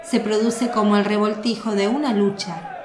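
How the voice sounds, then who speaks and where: A woman's voice: mid-range voice, fairly even intonation, normal speed, echoey room, heavy background noise. Woman narrating a radio drama.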